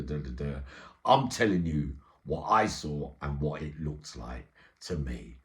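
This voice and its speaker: A middle-aged man telling an eyewitness story informally, in streetwise slang with frequent check-in tags. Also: sits low. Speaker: storyteller and channel host.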